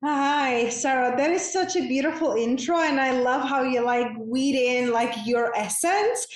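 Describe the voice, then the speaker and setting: A woman's voice: high voice, measured pace. Effusive Czech-accented woman in an echoey room. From Czech Republic. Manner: effusive, gushing, frequent "like" fillers. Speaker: podcast guest, coach. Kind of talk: conversational interview.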